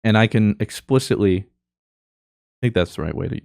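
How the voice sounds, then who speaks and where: A man's voice: fairly low pitch, lively intonation, moderate pace, vocal fry, a little mellow, no echo, studio quality. Joking young man reading podcast reviews. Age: young adult. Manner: joking, laughs often, reads listener reviews aloud. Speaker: podcast co-host. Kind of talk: casual podcast chat.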